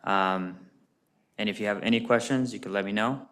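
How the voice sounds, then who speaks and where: A man briefing a council on email security training, speaking quickly and informally away from the microphone in a meeting room. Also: fairly low pitch, a bit bright, clean tone. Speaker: staff member presenting email security training.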